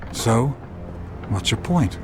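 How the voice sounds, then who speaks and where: An actor playing a pompous, menacing, condescending older mayor in a dramatic video game. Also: male voice, medium pitch, animated, slow pace, over music. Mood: sly, bargaining.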